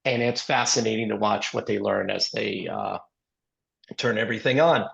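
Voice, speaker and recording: Hoarse, gravelly male interview host. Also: fairly low pitch, fairly even intonation, normal speed, a bit bright, lo-fi sound. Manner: rambling, heavy with ums and uhs. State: hoarse, scratchy voice. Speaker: podcast host and investor. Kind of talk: casual interview talk.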